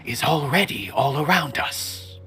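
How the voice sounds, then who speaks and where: A woman's voice: low voice, lively intonation, a bit bright, faint music behind. A woman voicing an aloof, condescending theatre observer who muses cryptically in a video game. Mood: grandiose. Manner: condescending, theatrical, measured rhetorical questioning. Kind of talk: video game character acting.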